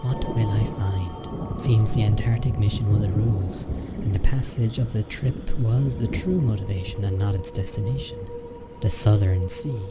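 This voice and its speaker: An actor playing a watchful, suspicious deducer in a radio play, musing in archaic, probing questions. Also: male voice, lowish voice, normal speed, close to an everyday voice. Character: watchful, suspicious deducer, puzzling out another's secrets. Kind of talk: theatrical radio drama monologue. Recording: music playing loudly behind.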